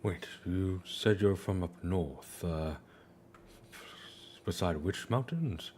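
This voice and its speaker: A man's voice: low voice, takes it slowly, a little mellow. A man bantering casually during a tabletop role-playing game. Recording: faint background noise.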